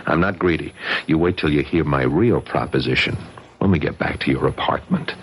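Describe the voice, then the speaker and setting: Sardonic crooked bookie, very low voice, slangy gangster talk, mocking menace, vintage radio play. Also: male voice, brisk. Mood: smug command. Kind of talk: 1970s radio drama acting.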